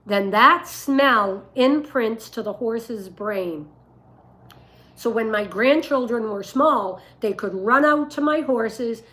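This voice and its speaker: An older woman with a rough voice, telling stories and giving advice casually on a livestream, in an emphatic, deliberate way. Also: a little high, fairly bright tone. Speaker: dog breeder and livestream host.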